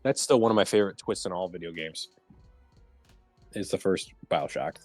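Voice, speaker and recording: A man's voice: sits low, normal speed, no echo, faint music behind. A man talking casually as a panelist in a livestreamed round table. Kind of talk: casual chat about video games.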